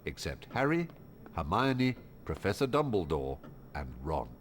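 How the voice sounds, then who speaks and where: A man's voice: low voice, big pitch swings, a little slow, a little hiss or hum. A man narrating a scripted fantasy story dramatically for a video game. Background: music.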